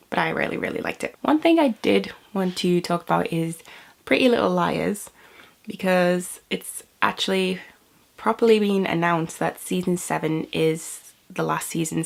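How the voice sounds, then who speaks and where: A young British woman chats to camera in a low, slightly gravelly voice. She sounds a bit stuffy and sniffly from a cold, rambling from one thing to the next with frequent little laughs. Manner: chatty, rambling, laughs often. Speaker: vlogger. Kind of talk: casual chat to camera.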